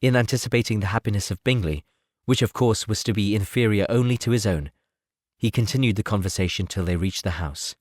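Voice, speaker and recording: A man narrating a classic novel as an audiobook in a measured, articulate way. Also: fairly low pitch, darkish tone, dry recording, studio quality. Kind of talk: scripted literary narration.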